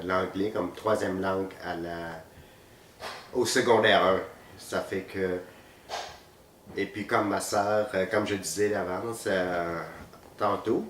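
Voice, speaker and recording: A man in his fifties, a Vietnamese speaker, answering interview questions with many hesitant pauses. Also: sits low. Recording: roomy, distant, faint noise.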